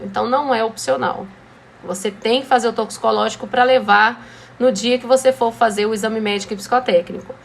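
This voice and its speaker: A Brazilian woman teaching a driving school lesson, explaining rules in a relaxed, conversational way and often checking in with her students. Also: fairly high pitch. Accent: Brazilian Portuguese. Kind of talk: classroom lesson.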